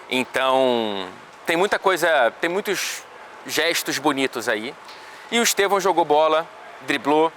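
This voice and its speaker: A man's voice: mid-range voice, bright, forceful tone, slight background noise. A man with a Brazilian accent casually breaking down a football match for his vlog, chatty and loose with slangy asides. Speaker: football reporter.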